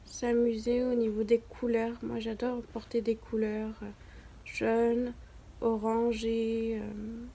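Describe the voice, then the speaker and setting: A woman's voice: a little high, monotone, slow pace, creaky, warm tone. A young woman with a southern French accent, chatting casually to camera at home in a rambling, self-mocking way. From southern France. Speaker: beginner vlogger.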